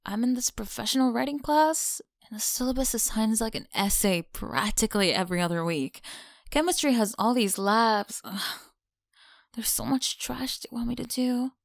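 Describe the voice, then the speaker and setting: A woman's voice: on the high side, moderate pace, gravelly, no room sound, clean studio sound. A young woman with an American accent playing a mean, teasing college bully in an ASMR audio roleplay, mocking and slangy. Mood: exasperated. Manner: mocking, sighing, casual slangy talk. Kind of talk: ASMR audio roleplay, one-sided dialogue.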